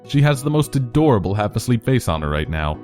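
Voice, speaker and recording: A man reading second-person fan fiction aloud as narrator in a casual, expressive way. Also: medium pitch, fast talker, faint music behind.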